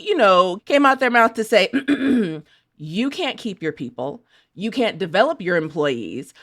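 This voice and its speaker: A woman's voice: a little high, a bit bright. A woman podcast contributor chatting casually in slang, theatrically acting out what others said. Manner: theatrical, acts out paraphrased quotes. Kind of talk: casual, slangy storytelling chat.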